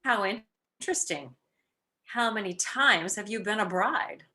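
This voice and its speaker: A woman's voice: mid-range voice, expressive, unhurried, sharp tone. A woman playing a clever, playful young wife in an old-time radio mystery, speaking in an arch, coy, affectionate way. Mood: arch, annoyed.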